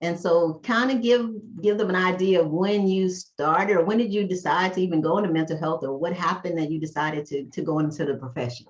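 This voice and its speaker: Middle-aged woman host, chatty and excited, lowish voice, kinda dull lo-fi sound. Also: a little fast, hardly any pauses. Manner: chatty, enthusiastic, rambling restarts. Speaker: podcast host and therapist. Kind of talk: interview hosting, casual chat.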